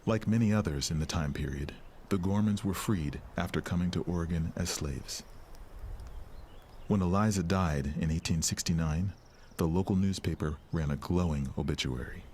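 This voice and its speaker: A man's voice: low-pitched, moderate pace, darkish tone, slight background noise. A man reading scripted narration for a historical documentary. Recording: music behind the voice. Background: background music.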